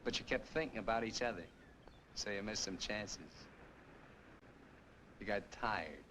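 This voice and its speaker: A menacing gunman from an old American crime film. His voice is low and his delivery terse, taunting and commanding. The soundtrack is clearly noisy. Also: measured pace, a bit bright. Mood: mocking. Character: menacing, sneering male gunman holding men hostage. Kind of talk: 1950s film noir acting.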